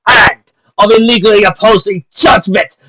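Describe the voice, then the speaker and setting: A man voicing a cruel male pony legion captain who booms commands slowly and theatrically. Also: steady tone, sharp tone, husky, full character voice. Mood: commanding. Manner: booming, commanding, slow and theatrical. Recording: dull lo-fi home recording.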